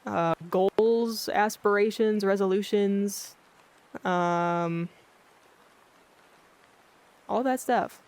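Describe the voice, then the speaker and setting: A livestreamer chatting casually with viewers in a monotone, blunt-sounding way, often losing their train of thought. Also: mid-range voice, drawn-out words, long pauses. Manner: rambling, loses track of thoughts. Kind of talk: casual chat with live viewers. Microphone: filtered streaming mic.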